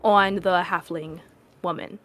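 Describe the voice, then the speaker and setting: A woman's voice: on the low side, fairly even intonation, takes it slowly, a bit bright, faint music behind. A woman playing a tabletop game, improvising as she describes what her character does.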